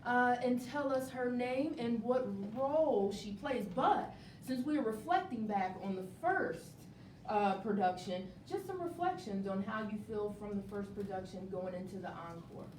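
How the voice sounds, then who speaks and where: A woman's voice: a little high, animated, measured pace, darkish tone. Encouraging woman host, echoey and distant. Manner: encouraging, affirming. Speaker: host interviewing a stage play cast. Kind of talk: live panel interview. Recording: noisy, echoey room, distant mic.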